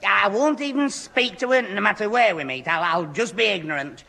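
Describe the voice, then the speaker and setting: A man's voice: high voice, a little fast, gravelly, sharp tone, heavily acted. A man playing a hapless, flustered young Northern English lad, whining and stammering in a 1960s radio sitcom. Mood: pleading. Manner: whiny, stammering, indignant. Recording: noisy old radio recording, audience behind.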